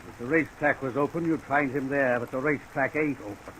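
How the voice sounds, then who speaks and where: Male actor as forgetful, teasing older radio character: medium pitch, folksy deadpan, rambling. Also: fairly quick, close to an everyday voice. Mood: droll, rambling. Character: droll, forgetful aging man, rambling and teasing. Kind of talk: 1940s radio character acting. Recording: faintly noisy vintage radio recording.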